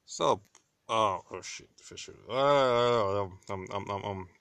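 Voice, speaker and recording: A man co-hosting a casual podcast about manga and anime who talks excitably, teases his co-host and swears freely. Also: fairly low pitch, takes it slowly, clean tone, no echo. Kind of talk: casual chat.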